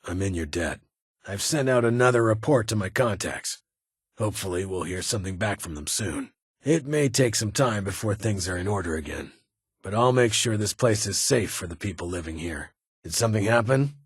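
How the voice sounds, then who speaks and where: An actor playing a stern, guarded military security leader in a video game, speaking formally in clipped, commanding phrases. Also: male voice, on the low side, animated, moderate pace, breathy edge, no echo, clean studio sound. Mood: measured, grateful. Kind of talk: video game character dialogue.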